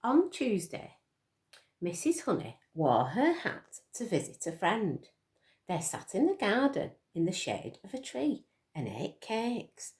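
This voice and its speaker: A woman's voice: mid-range voice, huge pitch swings, slow pace, darkish tone. A woman reading a picture book aloud to young children, expressively, with questions to her listeners. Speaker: storytime reader for children.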